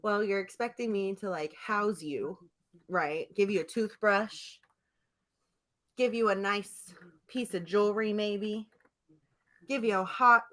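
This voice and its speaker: Woman podcast cohost just chatting, kinda rambly, teasing banter, normal mid pitch. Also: a bit bright. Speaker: podcast co-host. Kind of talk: casual chat.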